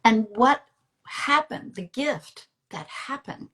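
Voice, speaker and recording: A woman interviewer talking conversationally, sharing personal anecdotes in an earnest, emphatic way with pauses between phrases. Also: fairly high pitch, lively intonation, a bit bright. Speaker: interviewer and storyteller who works with teens.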